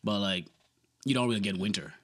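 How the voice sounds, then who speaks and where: Young man, rapid teasing banter, podcast co-host. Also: lowish voice. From Sudan and Ethiopia. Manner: joking, teasing, streetwise slang, drops quiet for stories. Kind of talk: casual chat.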